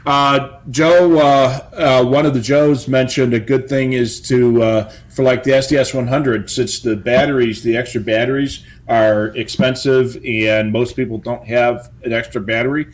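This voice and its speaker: A man co-hosting a hobby show, giving practical tips in casual, halting speech with stammered pauses. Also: medium pitch, steady tone.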